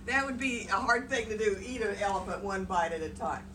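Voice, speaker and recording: A woman's voice: medium pitch, animated, brisk. A woman with a folksy, chatty, encouraging manner, telling folktales to children and prompting them along. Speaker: storyteller and host. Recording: noisy room, distant mic.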